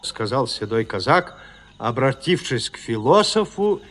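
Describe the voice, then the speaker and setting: A man narrating classic prose for an audio drama in a measured, theatrical storytelling manner. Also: mid-range voice, big pitch swings, a bit bright.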